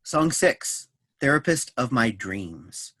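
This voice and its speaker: Man, mid-pitched voice, scripted narration, stage directions, close dry recording. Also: big pitch swings, slow, a bit bright, dry recording.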